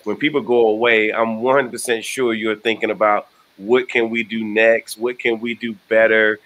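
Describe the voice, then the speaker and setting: Casting: middle-aged American male host, lowish pitch, bright forceful tone, stammers. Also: flat delivery, moderate pace. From United States. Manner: earnest, stammering restarts. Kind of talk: casual video-call interview. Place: indoor room. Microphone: video call.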